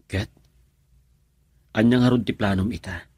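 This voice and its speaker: Radio drama lead: devoted young sweetheart, emotive, deep-leaning voice, dry close sound. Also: male voice, unhurried, darkish tone, husky, no room sound. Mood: worried, tender. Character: earnest young male lover, devoted grandson. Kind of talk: Ilocano radio drama acting.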